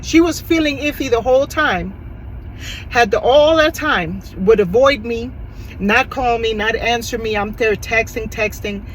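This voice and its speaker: A soft-voiced woman, a notary business owner, giving a frank and preachy personal rant with many restarts and fillers. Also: fairly high pitch, a little slow, low-quality recording. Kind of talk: candid personal rant to camera. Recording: loud vehicle and street noise.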